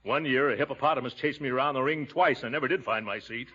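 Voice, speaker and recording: An actor playing a pompous, blustering middle-aged uncle who loves mischief, with theatrical indignation, in 1940s radio comedy style. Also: male voice, mid-range voice, fast talker, sharp tone, slight background noise, close to an everyday voice. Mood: genial. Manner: theatrical, indignant, self-satisfied. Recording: muffled 1940s radio recording.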